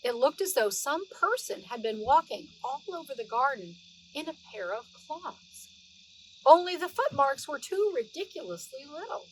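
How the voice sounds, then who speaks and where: Female storyteller, middle-aged, high voice, expressive children's read-aloud with drawn-out words and chatty asides; faint background noise. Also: poor audio.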